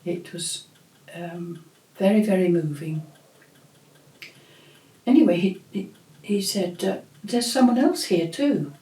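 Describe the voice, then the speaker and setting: Older woman host, slow and mellow, reflective storyteller, roomy echo. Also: on the low side. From England. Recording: echoey room.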